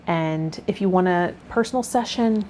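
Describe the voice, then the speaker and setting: A woman hosting a tarot vlog, talking in a gentle, encouraging way as she reads and explains. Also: fairly low pitch, normal speed, a little hiss or hum. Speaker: tarot reader and channel host. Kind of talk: reading aloud and casual spiritual vlog talk.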